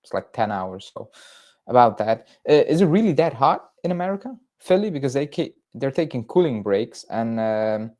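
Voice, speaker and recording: Brief: young man, Belgian-born, chatty livestream host, rambling and casual, mid-pitched. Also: big pitch swings, moderate pace, a little mellow. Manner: rambling, lots of fillers and restarts, chatty. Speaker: football fan channel host. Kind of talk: casual livestream chat reading viewer comments.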